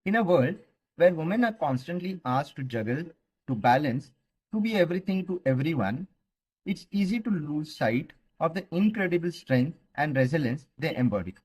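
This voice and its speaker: A man with an Indian English accent reading a scripted podcast introduction in a measured, formal way. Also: on the high side, lively intonation, a little mellow, breathy edge, low-quality recording. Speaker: podcast co-host narrating intro. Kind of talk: scripted narration.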